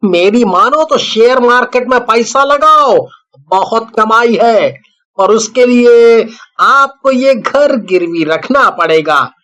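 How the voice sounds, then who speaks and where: A man's voice: very high-pitched, animated, bright, forceful tone, voice pushed up, full character voice. A man voicing a slick, persuasive young brother-in-law in a moral story, coaxing with schemes. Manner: coaxing, unhurried.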